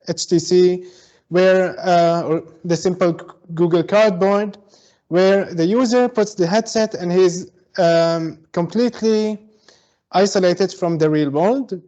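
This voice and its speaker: A man from Syria, a guest advocate for mixed reality, explaining step by step with many pauses and fillers over a video call. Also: high voice, darkish tone, lo-fi sound. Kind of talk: explanatory online talk. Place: home in Berlin.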